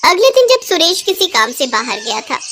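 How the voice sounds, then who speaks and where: A woman narrating a children's moral tale in an expressive, dramatic storytelling style. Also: very high voice, moderate pace.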